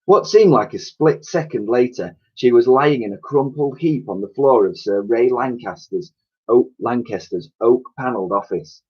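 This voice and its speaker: Husky man reading a children's story. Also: medium pitch, normal speed, lo-fi sound. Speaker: primary school teacher reading aloud. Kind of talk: expressive children's storybook narration.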